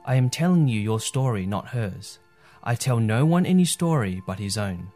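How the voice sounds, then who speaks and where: A man reading aloud and voicing a vast, solemn lion who speaks unseen in the dark, slow and grave. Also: mid-range voice, expressive, soft background music, natural voice. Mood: stern.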